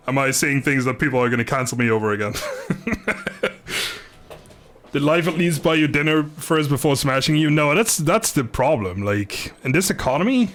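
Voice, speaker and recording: Animated, chatty male game streamer. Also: fairly high pitch. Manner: chatty, joking, laughs often. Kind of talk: casual live gaming commentary and chat.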